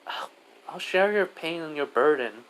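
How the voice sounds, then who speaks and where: Man putting on a high-pitched, cheerful little girl spirit, Diane. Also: lively intonation, a little slow, a bit bright. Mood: reassuring. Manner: bubbly, pleading, childlike reassurance. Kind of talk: amateur character voice in improvised storytelling. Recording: noisy amateur recording.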